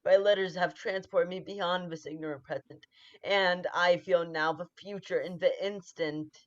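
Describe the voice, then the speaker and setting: A woman playing a ruthless, scheming noble lady who drives her husband on, in 1940s radio-play style, with urgent forceful delivery. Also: fairly low pitch, steady tone, moderate pace, close to an everyday voice. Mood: exultant. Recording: dull lo-fi sound.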